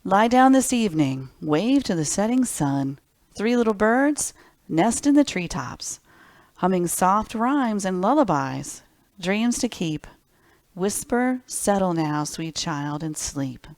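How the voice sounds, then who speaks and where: A woman's voice: medium pitch, expressive, slow pace, a little mellow, no echo. A woman hosting a children's library storytime, reading picture books expressively and speaking simply and directly to young listeners.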